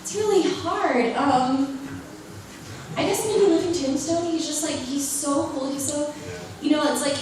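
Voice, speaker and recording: A woman's voice: high-pitched, unhurried, darkish tone. A young woman who is losing her voice, answering audience questions in a giggly, chatty way full of "like" fillers. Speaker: convention panel guest. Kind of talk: casual audience Q&A. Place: large convention hall. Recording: echoey hall from far off, noisy.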